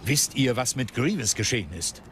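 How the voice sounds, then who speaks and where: An actor playing a resolute, commanding Jedi master in an audio drama, terse and authoritative. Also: male voice, mid-range voice, expressive, normal speed, a bit bright, slight background noise, close to an everyday voice. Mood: calm, grave.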